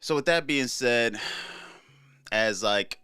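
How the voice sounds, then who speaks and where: A man's voice: mid-range voice, takes it slowly, bright, forceful tone, clear, resonant tone, no room sound, faint music behind. A young man from Trinidad hosting a solo podcast, talking in a laid-back, rambling way with many fillers and casual swearing. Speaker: podcast host.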